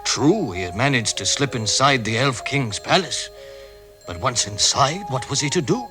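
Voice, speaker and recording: An actor playing the storytelling narrator of a fantasy quest in a vintage radio drama. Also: male voice, medium pitch, animated, moderate pace, over music, close to an everyday voice.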